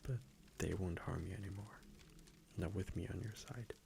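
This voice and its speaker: A man playing a gentle, affectionate vampire boyfriend in an intimate ASMR audio roleplay, speaking softly and often dropping into a whisper. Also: low voice, moderate pace, slight background noise. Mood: protective, soothing. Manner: soft-spoken, breathy, tender, often dropping to a whisper. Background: soft fireplace ambience.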